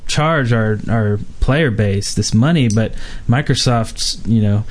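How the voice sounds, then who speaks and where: A man's voice: on the low side, slow, a little hiss or hum. A man hosting a casual podcast, reading out gaming news with many fillers and restarts. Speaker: podcast host.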